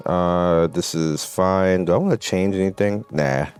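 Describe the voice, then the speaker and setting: Low-voiced man casually commentating a mobile game. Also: unhurried, darkish tone, clear, resonant tone. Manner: blunt, opinionated. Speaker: gaming channel host. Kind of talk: casual off-the-cuff gameplay commentary. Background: game music.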